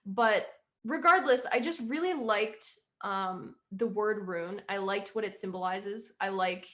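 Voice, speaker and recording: A woman's voice: mid-range voice, unhurried, sharp tone. A young woman musician chatting casually in an interview, rambling and quoting herself often.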